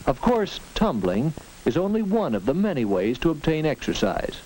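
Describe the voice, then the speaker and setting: A man narrates a vintage instructional film in an earnest, teacherly way. His voice rises and falls with lively expression. The old soundtrack carries a faint hiss and occasional clicks. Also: on the high side, moderate pace, low-quality recording. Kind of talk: 1940s educational film narration.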